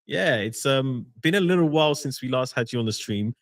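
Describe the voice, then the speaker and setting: A man's voice: medium pitch, animated, fairly quick. A man hosting a live game-company stream as community manager, chatty and welcoming.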